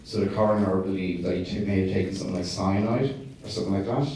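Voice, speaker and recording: A low-voiced man fields audience questions after a screening, speaking in a dark, flat tone. He hesitates often and restarts his sentences. His voice comes from a distance in a very echoey hall with faint noise behind it. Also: fast. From Ireland. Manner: hesitant, many fillers and restarts. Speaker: documentary director. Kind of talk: festival Q&A answers.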